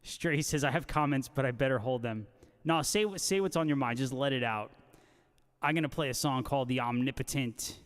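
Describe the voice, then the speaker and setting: A man's voice: mid-range voice, brisk. A man hosting a casual guitar livestream, reading out viewer comments and bantering with joking, slangy talk. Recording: long hall-like reverb on voice.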